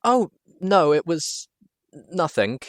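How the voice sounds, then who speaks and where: A man's voice: fairly high pitch, sing-song, slow pace, no echo, voice pushed up. A man plays a young pony mare from a storybook, a shy and eager technician. The voice is lifted well upward, earnest and nervous, in a dry recording. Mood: flustered.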